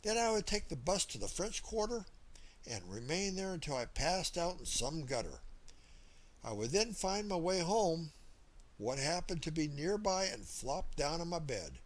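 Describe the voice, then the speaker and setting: A man's voice: fairly high pitch, big pitch swings, moderate pace. A man narrating a first-person memoir as an audiobook reader, telling the story from a script.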